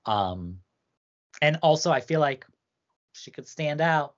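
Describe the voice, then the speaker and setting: Low-voiced chatty woman podcaster. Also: animated, slow pace, highs cut off. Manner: chatty, rambling, heavy use of "like". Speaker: podcast co-host. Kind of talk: casual chat about song contests.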